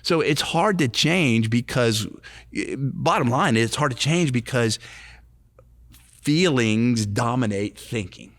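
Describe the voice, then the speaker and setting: Gravelly fifty-something man, earnest preacher. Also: medium pitch, expressive, slow pace, no room sound. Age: 50s. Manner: earnest, repetitive, restarts phrases. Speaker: podcast co-host, recovery ministry. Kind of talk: casual faith-based podcast talk.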